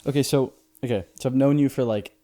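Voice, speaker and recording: A man's voice: medium pitch, fast talker, dark tone. A young man interviewing a founder, asking hesitant, filler-heavy questions in a casual chat. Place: house in Waterloo.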